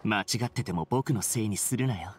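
A man's voice: lowish voice, a little fast, faint music behind, lightly acted. An actor playing an aloof young man who makes curt, dismissive, sardonic remarks. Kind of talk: video game voice acting. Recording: game cutscene audio with soundtrack under it.

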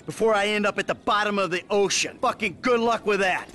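An actor playing a cynical, fed-up criminal in a video game, wary and needling with sardonic delivery. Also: male voice, high-pitched, brisk, pushed and bright, slight background noise.

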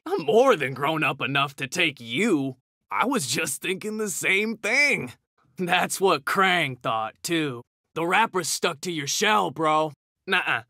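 A man's voice: fairly high pitch, pushed and bright, no room sound, clean studio sound, exaggerated voice. A man voicing a cocky, hot-tempered young mutant ninja turtle, trading brash, slangy taunts in a fighting video game. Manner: brash, slangy trash talk.

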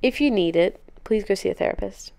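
A woman in her twenties talks in a casual, encouraging way, as on a solo podcast. Her voice is clear and smooth, with plenty of vocal fry. She is candid and chatty. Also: mid-range voice, fairly quick, a little mellow. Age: 20s. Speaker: podcast host and dance teacher. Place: living room sofa, indoors.

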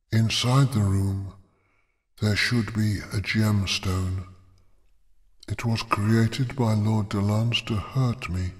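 A man voicing an ancient, imprisoned female dragon goddess who speaks slowly and with command. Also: on the low side. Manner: slow, measured, otherworldly.

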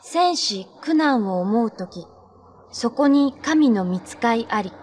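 An actress playing a solemn woman reciting ancient verse in a measured way in a TV drama. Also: on the high side, animated, a little mellow, a little hiss or hum. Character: solemn woman reading out ancient prophecy translations.

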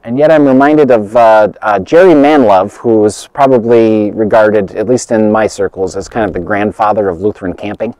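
Earnest middle-aged pastor, low mellow voice. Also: male voice, no echo, poor audio. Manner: earnest, halting, with frequent restarts and rising emphasis. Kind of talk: outdoor video sermon. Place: outdoors by a snowy lakeshore in winter.

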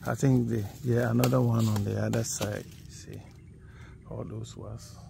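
A man's voice: on the low side, flat delivery, vocal fry, background noise. A man from Ghana walking through his farm, plainly pointing out crops, recorded outdoors on a handheld phone. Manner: slow, plain, pointing things out. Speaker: farmer giving a farm tour. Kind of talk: casual walking tour narration. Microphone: phone held while walking.

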